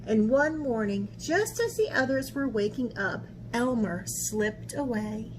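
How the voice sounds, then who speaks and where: Woman art teacher for kids' storytime, high voice, unhurried animated delivery, slightly noisy recording. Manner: animated, asks listeners questions. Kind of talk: children's picture-book read-aloud.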